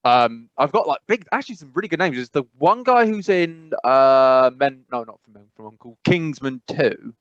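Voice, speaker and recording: A man's voice: mid-range voice, lively intonation, moderate pace, few pauses, no room sound. Rambling man, dry dull sound. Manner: rambling, self-correcting, frequent "I must be honest". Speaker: podcast co-host. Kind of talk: casual chat.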